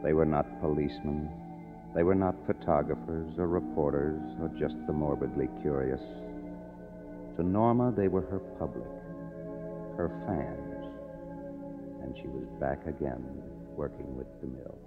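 An American man acting as a weary, cynical narrator of a tragedy in a 1950s radio drama, measured and wry. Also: extremely low pitch. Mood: wry, somber. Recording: muffled vintage radio recording, music underneath.

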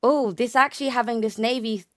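A woman's voice: a little high, steady tone, brisk, a bit bright, no echo, clean studio sound. A young woman from Japan talking conversationally as an academic guest, explaining things with hedged enthusiasm. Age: young adult. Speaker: academic guest, naval history researcher.

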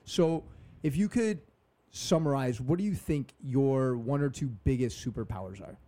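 A young man hosts a casual podcast chat, upbeat and motivational, dropping slang. His voice is mellow and warm. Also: mid-range voice, moderate pace. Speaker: podcast host. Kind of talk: casual conversation.

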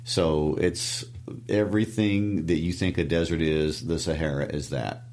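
Man, Midwestern accent, very deep voice, podcast co-host, relaxed explainer. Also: unhurried. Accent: Midwestern American. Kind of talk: casual book discussion.

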